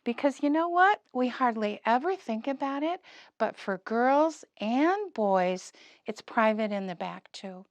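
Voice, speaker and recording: A woman's voice: on the high side, animated, a little slow, no echo. A woman presenting an interactive lesson to young children, giving simple instructions, questions and encouragement. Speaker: puppet show presenter for preschoolers.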